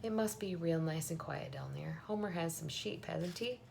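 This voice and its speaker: A woman reading the part of a wise, bearded old country doctor in a storybook, unhurried and thoughtful. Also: low-pitched, vocal fry, a little hiss or hum. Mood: dreamy. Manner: unhurried, thoughtful, gently questioning.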